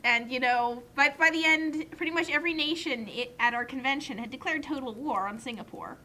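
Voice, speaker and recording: A woman's voice: high voice, a little fast, bright, forceful tone, a little hiss or hum. A young adult woman giving casual comedic commentary in an emphatic, nerdy, argumentative way.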